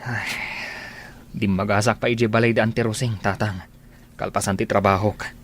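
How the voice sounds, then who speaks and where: An actor playing a gentle, lovelorn man with a sincere, pleading delivery in a radio drama. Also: on the low side, steady tone, moderate pace, slight background noise, close to an everyday voice. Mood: earnest. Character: earnest, lovelorn man Ferdinand, gentle and persistent.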